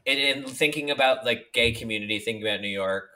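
A man's voice: medium pitch, fast, pushed and bright. A man co-hosting a podcast, talking in a casual, playful way with many fillers. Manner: chatty, filler-heavy, playful. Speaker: podcast co-host. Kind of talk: casual chat.

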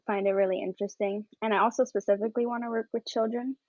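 A woman's voice: on the high side, steady tone, quick pace, a little mellow, dry recording. A nineteen-year-old woman, a college student, eagerly explaining herself as a podcast guest. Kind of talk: casual podcast chat. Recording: slightly dull, dry sound.

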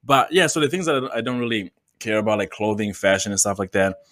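A man's voice: on the low side, animated, fast talker, fairly bright tone, no echo, studio quality. A man talking as a podcast guest in a laid-back, loose and joking way. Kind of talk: casual conversation.

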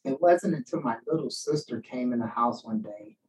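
A man's voice: mid-range voice, moderate pace. A man speaking casually and reflectively as a poet and teacher answering questions, acting out bits of remembered speech as he tells stories. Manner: animated storyteller, acts out quoted remarks. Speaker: poet and writing teacher giving a talk. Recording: distant mic with faint background noise.

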